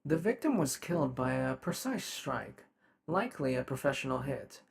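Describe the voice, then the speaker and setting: Man acting formal robotic detective's partner, gravelly edge. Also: medium pitch, sing-song, slight background noise. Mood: static, analytical. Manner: formal, measured, clinical. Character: polite, methodical robotic AI detective's partner, emotionally restrained. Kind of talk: ASMR roleplay character acting.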